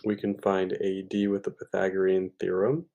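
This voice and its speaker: A man's voice: sits low, completely flat delivery, moderate pace, gravelly, dark tone, dull audio. A man tutoring math, talking through a problem step by step in an informal way, thinking aloud with hesitations and self-corrections.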